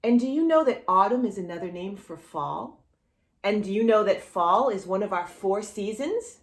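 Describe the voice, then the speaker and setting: A woman hosting a read-aloud storytime for young children, speaking in an animated way as if straight to the child listening. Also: medium pitch, normal speed. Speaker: children's storytime host reading a picture book aloud.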